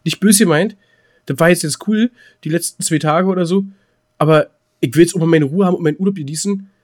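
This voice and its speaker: A man chatting casually as a podcast co-host in a halting, hesitant, colloquial way. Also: fairly high pitch, a little mellow. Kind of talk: casual chat.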